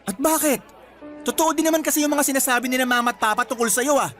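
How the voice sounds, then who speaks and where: A man's voice: very high-pitched, fast, a bit bright. A man playing an arrogant graduate in his twenties, a scornful, taunting younger brother with a Filipino accent in a radio drama. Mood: taunting anger. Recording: music bed under the voice.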